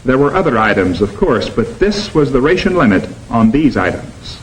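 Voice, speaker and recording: A man delivers 1950s documentary narration. His pitch rises and falls expressively as he stresses each item in a list, and his delivery is measured and emphatic. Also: sing-song, low-quality recording.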